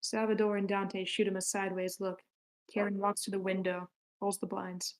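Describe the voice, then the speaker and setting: A woman reads stage directions at a screenplay table read. Her mid-pitched voice stays fairly flat, moving matter-of-factly through short scripted sentences. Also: normal speed. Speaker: narrator reading stage directions.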